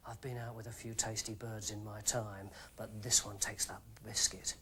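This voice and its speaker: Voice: little pitch movement, moderate pace, bright, forceful tone, close to an everyday voice. A woman voicing a cocky young hero's whispered inner thoughts with a British accent. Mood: smug. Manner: whispered, low, smug.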